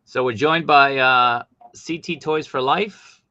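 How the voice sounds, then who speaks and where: A man's voice: medium pitch, slow, pushed and bright, no echo, lo-fi sound. A man hosting a casual chat, thinking aloud and weighing theories. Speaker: host. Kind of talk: casual chat about toy collecting.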